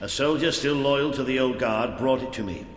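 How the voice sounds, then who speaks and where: An actor playing an earnest, steadfast veteran soldier and rebel leader in a fantasy video game. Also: male voice, mid-range voice, normal speed, a little hiss or hum, natural voice. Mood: resolute. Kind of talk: dramatic game dialogue. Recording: echoey stone-hall reverb, faint music.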